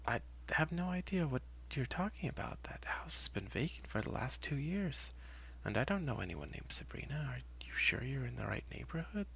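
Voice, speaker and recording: A man's voice: medium pitch, very animated, moderate pace, vocal fry, fairly bright tone, in a whisper, voice pushed up. A man voicing a confused, polite middle-aged woman neighbour in a horror story. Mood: uneasy, apologetic. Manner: puzzled, hesitant, polite. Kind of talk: acted dialogue in horror story narration.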